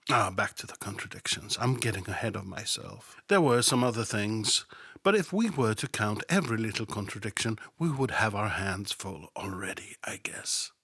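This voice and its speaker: A man's voice: on the low side, very animated, normal speed, bright, forceful tone, husky, no room sound. A man hosting a satirical Bible commentary podcast, sardonic and mocking, sometimes dropping to a whisper for asides. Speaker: podcast host.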